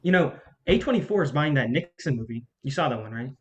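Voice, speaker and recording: A man playing a level-headed assistant to a studio boss in a staged play reading, calming and reasoning. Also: mid-range voice, fast, dull audio, natural voice. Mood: placating.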